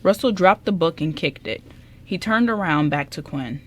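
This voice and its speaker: A woman's voice: fairly low pitch, animated, normal speed. A woman reading scripted narration for an amateur radio drama as its narrator.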